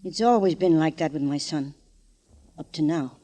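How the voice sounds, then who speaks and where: A woman's voice: low-pitched, moderate pace, mellow. An actress playing a hard, domineering older mother of a gangster, scolding and sardonic, in an old radio crime drama. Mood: cool, bitter.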